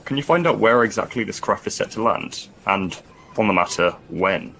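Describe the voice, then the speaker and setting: Guy doing dry brit military boss, audio drama, lowish voice, clipped and polite, slight background noise. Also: animated, moderate pace, vocal fry, bright, forceful tone, full character voice. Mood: dry, sceptical. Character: dry, sceptical male military commander.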